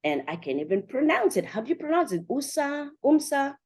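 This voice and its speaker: A woman's voice: high voice, sing-song, brisk, a little mellow. A woman hosting an upbeat podcast interview show, chatty and enthusiastic, speaking straight to her listeners. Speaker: show host and conference organiser.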